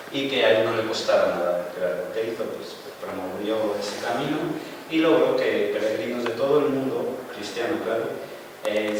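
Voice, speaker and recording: Low-voiced male lecturer in an echoey hall. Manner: asks rhetorical questions and answers them himself; loose and explanatory, with many "pues" and "eh" fillers. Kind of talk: informal lecture with slides. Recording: echoey hall, distant mic, background noise.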